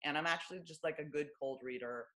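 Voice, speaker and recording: A woman from Los Angeles with a deep, low voice, a former stand-up comic talking candidly and wryly as an interview guest. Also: fast talker, bright, forceful tone, poor audio. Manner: wry, self-deprecating, lots of fillers like "you know" and "like".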